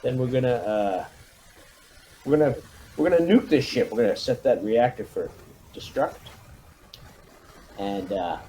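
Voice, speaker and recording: A man's voice: medium pitch, lively intonation, a little slow, pauses often, warm tone, a little hiss or hum, poor audio. A man leading a squad in a tabletop roleplaying game, briefing it with military jargon and command phrasing over an online call.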